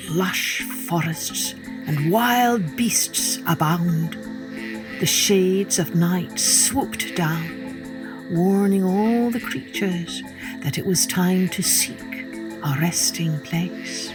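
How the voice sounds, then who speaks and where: A woman narrating a children's story as a podcast storyteller, dramatic and savoring each word with deliberate pauses. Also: lowish voice, over music, rough recording.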